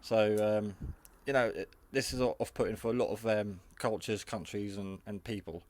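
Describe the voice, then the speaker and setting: Low-pitched man giving a casual gadget demo. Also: steady tone, moderate pace. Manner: hesitant, rambling, matter-of-fact. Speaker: hobbyist radio reviewer. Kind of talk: casual hands-on product demonstration. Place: garage.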